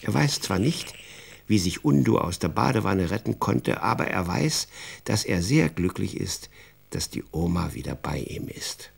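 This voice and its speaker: A man narrating a children's radio drama as an expressive, wry storyteller. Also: deep voice, unhurried, creaky, breathy edge.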